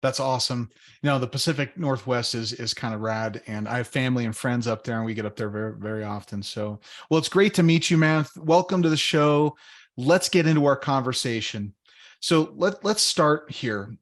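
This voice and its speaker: Male podcast host, mid-pitched, relaxed and friendly, stumbles and restarts. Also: moderate pace. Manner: friendly, laid-back, with repeats and restarts. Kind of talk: podcast interview hosting.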